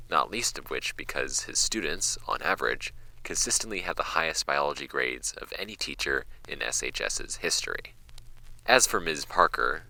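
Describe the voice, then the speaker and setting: A man playing a skeptical archivist in an audio drama, reading case files aloud in a creaky voice. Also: sharp tone, full character voice, rough recording. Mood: dry, wry. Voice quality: creaky, very deep. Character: dry, skeptical male archivist recording case notes. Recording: music bed under the voice.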